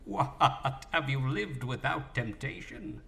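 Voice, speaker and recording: Man as imperious magistrate, audio drama, accusing, emphatic, slow, creaky, forceful. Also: medium pitch, expressive, full character voice. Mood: stern accusing. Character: stern, accusing hanging-judge magistrate.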